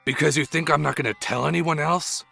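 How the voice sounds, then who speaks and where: A man voicing a tormented teenage tough guy in a fan manga dub, with a rough, intense, brooding delivery. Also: a little high, fairly even intonation, fairly quick, pushed and bright, soft background music, heavily acted. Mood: startled, confused. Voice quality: rough, gravelly.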